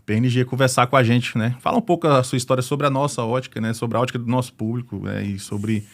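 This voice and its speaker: A man's voice: mid-range voice, steady tone, fast. A man from Brasília hosting a live interview show, opening with an upbeat greeting and chatting easily with his guest. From Brasília, Brazil. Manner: upbeat, chatty, leans on "né" tags. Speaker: podcast host.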